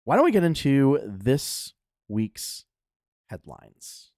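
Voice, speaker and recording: A young adult man hosting a casual gaming news podcast, talking in a relaxed way with lots of fillers, a little nasal from a cold. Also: mid-range voice, slow. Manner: laid-back, many fillers and restarts. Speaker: podcast host.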